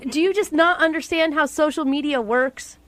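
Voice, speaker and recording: A woman's voice: high voice, normal speed, a bit bright. A woman co-hosting a radio show, chatting conversationally with callers and colleagues.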